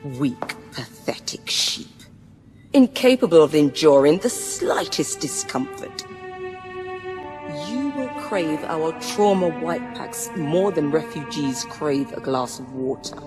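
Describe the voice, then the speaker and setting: An actress playing a cold, ambitious, sharp-tongued businesswoman and stern mother in a video game cutscene. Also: sits low, expressive, slow pace. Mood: imperious, contemptuous. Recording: music behind the voice.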